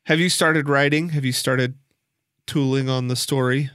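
A man's voice: mid-range voice, steady tone, moderate pace, a bit bright, smooth, no room sound, clean studio sound. A man interviewing someone in a casual, conversational way. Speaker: podcast interviewer.

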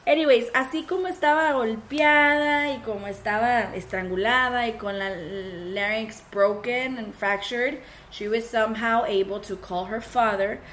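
Woman podcast co-host, hoarse from illness, bilingual casual storytelling, faint noise. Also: a little high, moderate pace, few pauses, a bit bright. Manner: switches between English and Spanish mid-sentence. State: sick, coarse voice. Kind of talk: casual true-crime chat.

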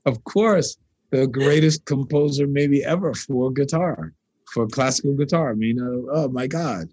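A man from New York speaks with a slightly husky voice, recorded close and dry. He is a guitarist being interviewed, and he tells animated, rambling stories full of restarts. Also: medium pitch, a little slow, dry recording. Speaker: guitarist and composer. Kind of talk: casual interview conversation.

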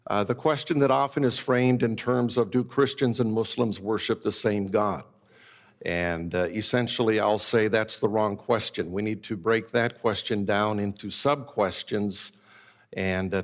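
A middle-aged man who grew up in Japan gives a conference talk on ministry. He speaks in a measured way with many "uh" fillers. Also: mid-range voice. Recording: long reverb of a large hall.

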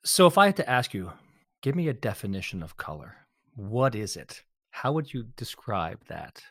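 A man's voice: fairly low pitch, sing-song, normal speed, fairly bright tone, no echo. Curious male interviewer, dry sound. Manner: curious, rephrasing and checking understanding. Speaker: interviewer host. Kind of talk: conversational interview.

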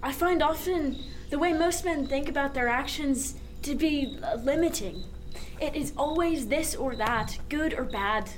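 A high-pitched, wry sailor played by a woman in a radio drama. The delivery is measured and reflective, frank and skeptical. Crickets and night air sit faintly behind the voice. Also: a little hiss or hum.

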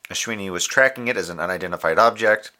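Low, crisp moonbase officer. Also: male voice, sharp tone. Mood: businesslike. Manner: measured, formal, precise. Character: formal, dutiful male moonbase radio officer, tender underneath. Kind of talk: audio drama scripted log transmission.

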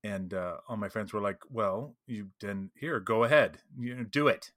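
Bright-voiced man in casual podcast chat. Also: on the low side, moderate pace, no room sound, clean studio sound. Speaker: podcast co-host. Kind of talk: casual podcast chat about role-playing games.

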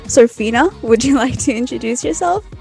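Upbeat young woman host doing the podcast intro, highish voice, soft music under. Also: animated, moderate pace, creaky. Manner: upbeat, announcer-like welcome.

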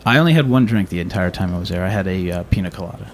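A man hosting a radio show in a studio, chatting casually in a halting, rambling way. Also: low voice, animated, slight background noise. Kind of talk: casual talk show chat.

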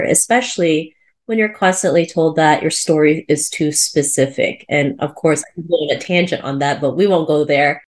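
Enthusiastic woman hosting a podcast. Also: low-pitched. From Philippines. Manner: enthusiastic, effusive. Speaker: podcast host. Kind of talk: interview podcast.

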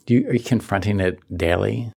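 A man hosting an interview, asking gentle, probing questions in a conversational way. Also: lowish voice, brisk, creaky, husky. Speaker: interviewer, host.

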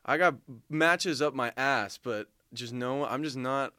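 Clear-voiced young man hosting a podcast. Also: medium pitch, a little slow, pushed and bright, no echo, studio quality. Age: 20s. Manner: jokey, crude humor. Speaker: podcast host. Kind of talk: casual podcast banter.

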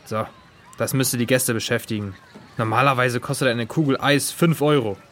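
A man's voice: medium pitch, normal speed, a bit bright, close to an everyday voice. Man as smug resort owner, noisy setting. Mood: self-assured. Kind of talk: children's audio drama.

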